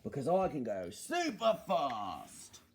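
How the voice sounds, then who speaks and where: A grumpy double-decker bus from a children's storybook, as a male narrator plays him, scornful and boastful. His voice drops low and stays mellow, with a gloating edge to his complaints about the world. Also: wildly swooping pitch, slow, creaky, full character voice.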